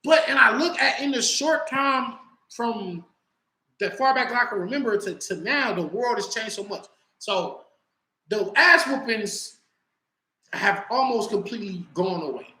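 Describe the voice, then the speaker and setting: A man in his early forties from Los Angeles, chatting loosely on his own livestream with slang, swearing and animated storytelling. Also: sits high, a little slow, roomy sound, rough recording. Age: 40s. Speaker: livestream host. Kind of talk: casual livestream chat.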